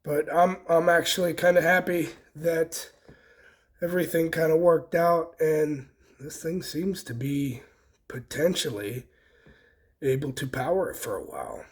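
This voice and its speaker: Man, slightly high voice, slow pace, folksy, casual DIY vlog host, thinking aloud. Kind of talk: casual hands-on demonstration to camera.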